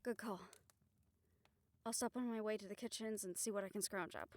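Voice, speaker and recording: A woman playing a level-headed young hunter and caring leader in an audio roleplay, practical and calming in manner. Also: mid-range voice, moderate pace, no room sound, lightly acted. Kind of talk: dramatic audio roleplay acting.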